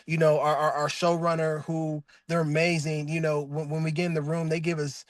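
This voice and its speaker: A man being interviewed about his voice work, gushing in a loose, rambling way full of "like" and restarts. He sits high for a male voice, though he calls it deep himself. The sound is dry and close, with no room in it. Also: level tone, fairly quick, dry recording. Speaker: voice actor guest. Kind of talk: casual interview chat.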